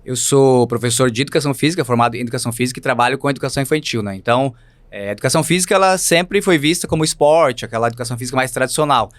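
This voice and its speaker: A man in his thirties from Santa Catarina with a local accent, a teacher speaking as a guest in a studio interview, playful and lively, often adding "né". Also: mid-range voice, no echo. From Santa Catarina, Brazil. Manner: lively, playful, fast-talking with many "né". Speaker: guest, physical education teacher. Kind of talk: podcast interview.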